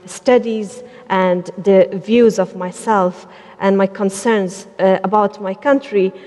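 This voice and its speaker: A woman from Afghanistan gives her views on a discussion panel, often pausing on fillers and restarting her sentences. Her voice sits at a middle pitch. It carries the long reverb of a large church hall. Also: steady tone, a little mellow. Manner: hesitant, many fillers and restarts. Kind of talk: panel talk.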